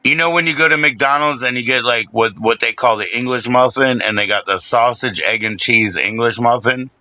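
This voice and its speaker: Bright-voiced laid-back man. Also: mid-range voice, normal speed, no echo, poor audio. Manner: laid-back, swears, laughs often. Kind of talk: casual chat.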